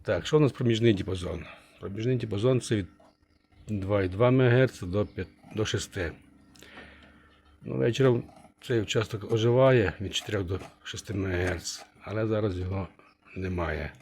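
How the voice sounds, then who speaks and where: A man presenting a casual hands-on demonstration of a vintage radio he restores, speaking haltingly and muttering to himself as he works. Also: on the low side, a little mellow, a little hiss or hum.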